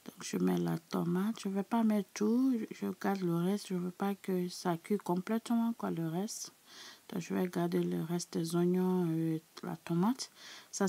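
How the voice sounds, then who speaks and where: A woman with a sore, hoarse throat, chatting casually to her subscribers as she walks through a recipe in her kitchen. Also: on the low side, warm tone. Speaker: cooking channel host. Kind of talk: casual cooking tutorial.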